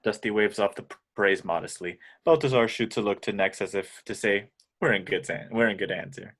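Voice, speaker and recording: A man's voice: lowish voice, fairly even intonation, brisk, fairly bright tone. A man narrating scripted stage directions at an amateur table read.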